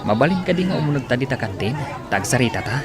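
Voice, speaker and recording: A man's voice: medium pitch, very animated, fast, crowd noise, natural voice. A man acting a melancholy, stubbornly devoted Filipino lover in a radio drama. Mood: polite.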